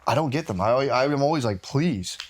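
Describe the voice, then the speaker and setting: A man's voice: medium pitch, lively intonation, fairly quick. A man with a mid-range voice talks in a loose, comedic podcast style. He rambles through his stories conversationally and swears freely. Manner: profane, rambling storytelling. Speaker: podcast guest. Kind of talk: casual comedic chat.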